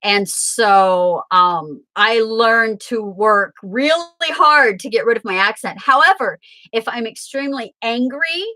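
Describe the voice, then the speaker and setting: Slow, bright-voiced woman chatting with viewers. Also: a little high, rough recording. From Southern Illinois, USA. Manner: chatty, answers viewer comments aloud. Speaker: tarot reader and livestream host. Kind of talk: casual livestream chat with viewers.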